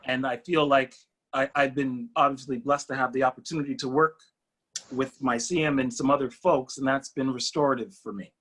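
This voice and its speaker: A man on a panel speaking personally and reflectively, haltingly searching for words. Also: mid-range voice, steady tone, fairly bright tone. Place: online video call.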